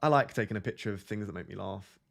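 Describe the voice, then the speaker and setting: A young man hosting a live stream, chatting casually with his viewers in a flat, monotone, self-deprecating way. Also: lowish voice, fast talker, vocal fry. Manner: self-deprecating, chatty, reads out chat messages. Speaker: livestream host.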